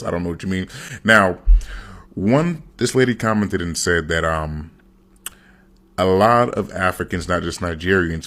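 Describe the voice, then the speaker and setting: Deep-voiced man giving blunt commentary. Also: fairly bright tone. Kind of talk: commentary video.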